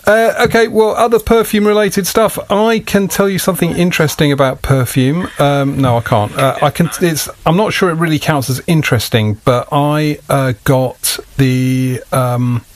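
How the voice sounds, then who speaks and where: A man's voice: a little high, fairly even intonation, moderate pace, few pauses. Rambling British man, sweary podcast chat. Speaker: podcast host.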